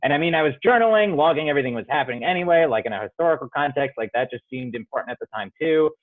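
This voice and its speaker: A man's voice: a little high, animated, rapid-fire, dry recording. A young man, a playwright, giving thoughtful interview answers with many fillers and restarts over a video call. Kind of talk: reflective casual interview answers. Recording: dry, tinny phone-like sound.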